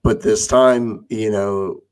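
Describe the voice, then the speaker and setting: A man with a somewhat low voice speaks hoarsely in a casual podcast chat. He rambles and fills his sentences with "you know.". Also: steady tone, takes it slowly, a little mellow. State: hoarse from yelling.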